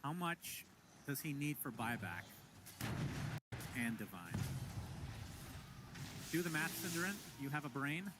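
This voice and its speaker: A man doing play-by-play commentary on an esports match, quick to burst into exclamations. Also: on the high side, over loud music. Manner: excitable, breaks into exclamations. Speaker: esports caster.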